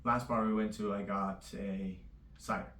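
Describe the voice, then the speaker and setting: A man chatting casually in a loose, rambling vlog, his voice a little raspy. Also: fairly low pitch, fairly even intonation, a little slow, a bit bright, a little hiss or hum. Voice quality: slightly raspy. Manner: loose and rambling, full of fillers. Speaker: vlogger. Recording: echoey room, distant mic.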